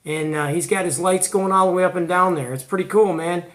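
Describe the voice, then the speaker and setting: A man's voice: sits high, brisk, fairly bright tone. A man hosting a hobby show, giving casual, chatty show-and-tell commentary in informal, slangy language. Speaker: host of a CB radio hobby show.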